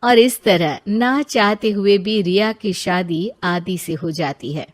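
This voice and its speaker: A woman's voice: medium pitch, slow pace. A woman narrating a scripted story.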